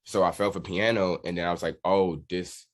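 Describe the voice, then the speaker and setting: Deep-voiced American man, casual and candid. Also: fairly even intonation, fairly quick. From Washington DC area, United States. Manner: candid, slangy. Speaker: musician guest, drummer. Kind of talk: casual interview storytelling.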